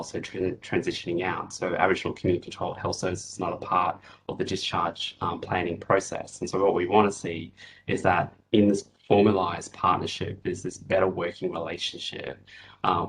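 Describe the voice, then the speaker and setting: A man in his thirties gives testimony at a hearing over a video call. His voice is very low and flat, and he speaks in a measured way with many fillers. A faint noise hangs behind him. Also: poor audio.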